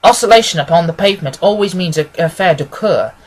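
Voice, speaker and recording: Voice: medium pitch, animated, fast, a little hiss or hum, natural voice, poor audio. A reader voicing a confident, analytical male detective in an audiobook, speaking with assured precision. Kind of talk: acted audiobook dialogue.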